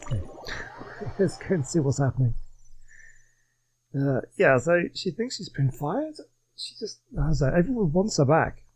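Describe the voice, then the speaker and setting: Husky, mellow male gamer commenting casually. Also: on the high side, very animated, measured pace, long pauses, rough recording. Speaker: gamer, let's play host.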